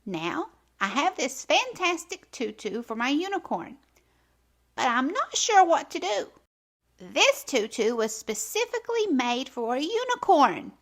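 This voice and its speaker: A woman hosting a children's storytime, reading aloud expressively with theatrical, deliberate phrasing. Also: high-pitched, very animated. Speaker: children's storytime host and narrator.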